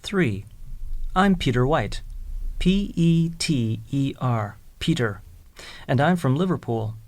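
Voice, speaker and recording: A man reading scripted lines for a listening exercise in an even, clearly enunciated way. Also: medium pitch, slow pace, no echo.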